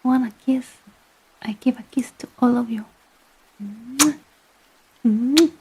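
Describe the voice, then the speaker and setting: A woman from Italy with an Italian accent, whispering and softly chatting with hesitant fillers as an ASMR streamer. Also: on the high side, breathy edge, poor audio. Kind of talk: soft-spoken ASMR storytelling and chat.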